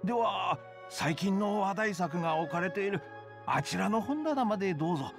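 A man's voice: high-pitched, animated, normal speed, music in the background. A man voicing a nervous, deferential bookshop editor in a Japanese game, very polite, flustered and hesitant. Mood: polite, yielding.

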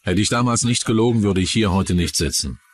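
A man's voice: on the low side, brisk, a little hiss or hum. A man speaking as an interviewee in a documentary voice-over. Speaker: translated interviewee, the accused.

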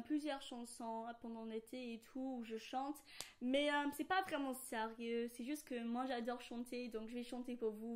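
Young english girl chatting in french, high voice, slow and soft, bedroom vlog. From England. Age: young adult. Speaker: vlogger. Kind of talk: casual chat to camera.

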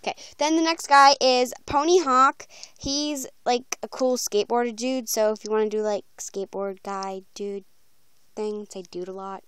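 A woman's voice: fairly high pitch, fairly even intonation, normal speed, fairly bright tone, clean tone, no room sound. A young woman talking casually and informally in a rambling, slangy vlog, like a creator announcing something to viewers. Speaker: video creator announcing voice auditions. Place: small room at home, bedroom. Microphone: handheld phone, close by.

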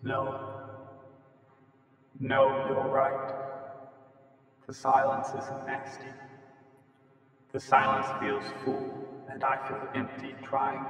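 A man giving a brooding, halting performance of spoken-word poetry in a radio play. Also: mid-range voice, flat delivery, a bit bright, faint music behind. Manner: halting, brooding, deliberate delivery. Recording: very echoey, long reverb, distant voice.

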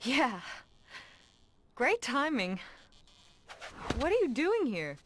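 A woman playing a wistful, guarded young woman in a video game, hesitant and gentle. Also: high voice, sing-song, drawn-out words, slight background noise, exaggerated voice. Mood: relieved.

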